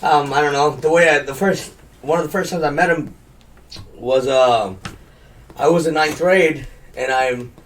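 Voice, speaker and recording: A man's voice: fairly high pitch, measured pace, pauses often, a little hiss or hum. A young man from Pakistan who talks in a rambling, heartfelt way, full of "dude" and "like", chatting with friends on a podcast. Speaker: podcast guest. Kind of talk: casual chat. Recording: far from the mic, with guitar music.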